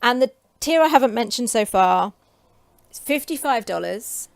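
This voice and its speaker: A woman in her forties from England talks casually to camera, chatty and enthusiastic with frequent ums, her voice getting hoarse. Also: on the high side, animated, moderate pace, no echo. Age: 40s. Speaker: creative coach and vlogger.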